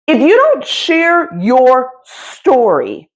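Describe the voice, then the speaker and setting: An American woman giving a motivational coaching talk to camera, speaking emphatically and deliberately with dramatic pauses and repetition. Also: sits high, wildly swooping pitch, poor audio. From United States. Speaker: business coach and host.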